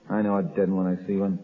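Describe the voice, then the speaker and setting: A rough gangster henchman from a 1930s radio play, in a lowish voice. He is jumpy and callous, talking in slangy tough-guy bursts over a narrow, phone-like old broadcast.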